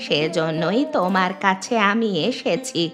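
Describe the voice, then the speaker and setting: Woman as earnest, low-pitched old village grandmother. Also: a little slow, over music, lightly acted. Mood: earnest, conciliatory. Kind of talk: Bengali cartoon voice acting.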